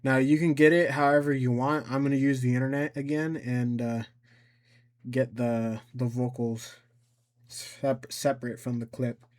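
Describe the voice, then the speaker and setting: A man giving an informal step-by-step software tutorial, thinking aloud and somewhat hesitant as he goes. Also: medium pitch, flat delivery, a little slow. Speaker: tutorial host.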